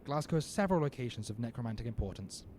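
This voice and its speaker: Actor as a politely ominous necromancer. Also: male voice, mid-range voice, expressive, darkish tone, slight background noise, lightly acted. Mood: formal, businesslike. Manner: formal, measured, deferential. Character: smooth, grave necromancer businessman, politely ominous. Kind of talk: audio drama acting.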